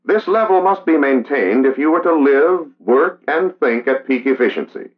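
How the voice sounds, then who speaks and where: An American man giving measured, solemn, scripted narration in the style of a 1960s training documentary. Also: fairly high pitch, animated. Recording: dull vintage film soundtrack. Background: music.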